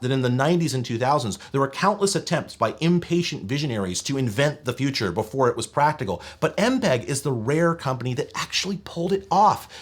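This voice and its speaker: Man with lively, swinging intonation. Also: medium pitch, husky. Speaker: video host and gadget reviewer. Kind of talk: scripted review narration, conversational.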